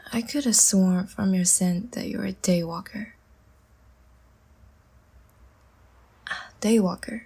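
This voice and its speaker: Woman playing a languid, breathy, half-whispering vampire seductress. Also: medium pitch. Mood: coy, teasing. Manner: slow, soft, teasing and soothing. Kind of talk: intimate ASMR audio roleplay.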